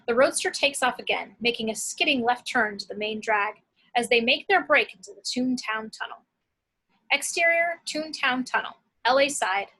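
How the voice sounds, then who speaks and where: A woman's voice: a little high, sharp tone, poor audio. A woman narrating action descriptions from a script at an amateur table read.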